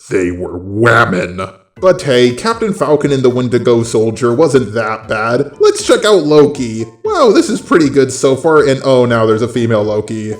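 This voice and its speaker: A man's voice: mid-range voice, roomy sound. A man giving sarcastic, exasperated, opinionated commentary on films and TV in the manner of an online video host. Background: background music.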